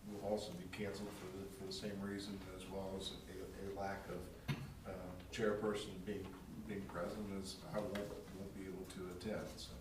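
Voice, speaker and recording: A man with a stuffy, nasal cold gives his report in a low, flat voice, stopping and restarting often. He is heard from a distance in a booming, echoey council chamber with a steady hum behind him. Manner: halting, many fillers and restarts. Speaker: council member. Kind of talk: committee report at a council meeting.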